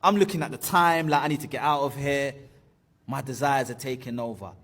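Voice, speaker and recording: A man's voice: medium pitch, fairly quick, fairly bright tone. A man in his thirties animatedly telling a personal story, acting out the voices of friends and his teacher.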